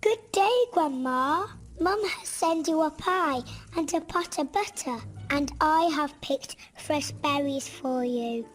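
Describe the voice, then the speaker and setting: A woman's voice: high voice, expressive, takes it slowly. A woman playing a sweet, innocent little girl in a children's storybook cartoon, with a wondering manner. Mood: cheerful.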